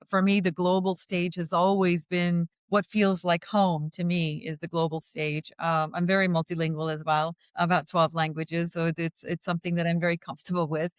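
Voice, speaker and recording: A middle-aged woman from India, a venture fund co-founder speaking as a podcast guest in measured, articulate, long flowing sentences. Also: fairly low pitch, flat delivery, clear, resonant tone, no room sound, clean studio sound. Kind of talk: interview conversation.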